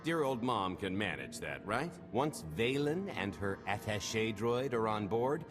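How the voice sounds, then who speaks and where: A swaggering video game smuggler with a lowish voice that moves up and down in lively swings. He is glib and wry, selling every scheme with cocky ease, over a clear bed of music. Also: measured pace. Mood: confident. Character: cocky roguish male smuggler pitching a heist. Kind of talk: cinematic video game voice acting. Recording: music behind.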